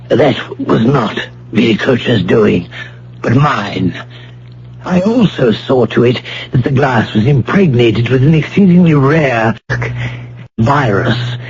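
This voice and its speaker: An actor playing a courteous but ruthless criminal mastermind in a radio drama, speaking formally and deliberately with polite menace. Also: male voice, mid-range voice, darkish tone, slight background noise. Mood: gloating. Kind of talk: vintage radio drama acting. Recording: muffled, humming old radio broadcast recording.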